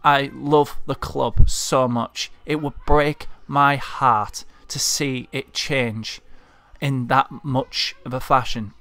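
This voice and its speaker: A man's voice: mid-range voice, steady tone, takes it slowly, sharp tone, no room sound. A man from Leeds with a Leeds accent, delivering a frustrated, heartfelt vlog rant as a football fan. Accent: Leeds Yorkshire. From Leeds, England. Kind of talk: impassioned monologue rant.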